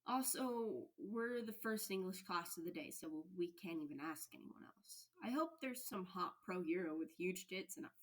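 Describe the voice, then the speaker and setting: A woman's voice: on the low side, animated, normal speed, hardly any pauses, poor audio. Woman doing gossipy teen classmate, chatty, curious, audio story style. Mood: excited curiosity.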